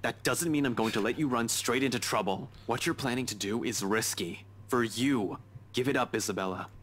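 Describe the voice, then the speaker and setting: A man's voice: medium pitch, normal speed, fairly bright tone, slight background noise, natural voice. A man playing a stern, protective young man in a visual novel, firm and insistent. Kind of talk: dramatic visual novel dialogue reading.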